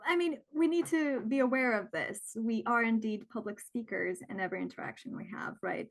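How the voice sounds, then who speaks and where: A woman's voice: medium pitch, vocal fry, poor audio. A woman hosting a webinar over a video call, reading questions from the chat and earnestly thanking the presenter. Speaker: webinar host.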